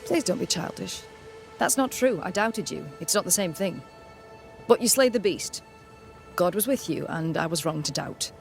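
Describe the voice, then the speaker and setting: A woman's voice: on the low side, expressive, moderate pace, vocal fry, faint music behind. An actress playing a flustered, prim, pious colonial townswoman in a video game, fussy and apologetic. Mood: embarrassed, prim. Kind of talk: scripted video game cutscene acting.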